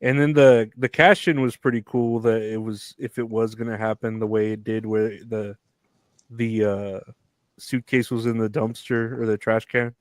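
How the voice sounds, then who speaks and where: A man co-hosting a wrestling podcast, giving blunt opinions in a halting, restarting way. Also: on the low side, little pitch movement, no room sound. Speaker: podcast co-host. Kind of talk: casual wrestling chat.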